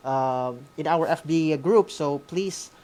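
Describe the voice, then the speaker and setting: A man's voice: on the high side, slow pace, a little mellow. Male co-host, Filipino accent, informal school broadcast, hesitant and stop-start delivery. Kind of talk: informal hosting of an online class.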